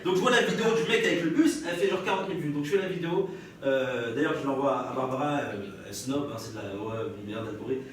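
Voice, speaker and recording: A man's voice: medium pitch, expressive, fast talker. A young man with a French accent doing live stand-up storytelling, chatting and bantering with the audience and laughing often. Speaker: stand-up comedian. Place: live comedy stage before an audience. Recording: echoey live room, distant mic, audience noise.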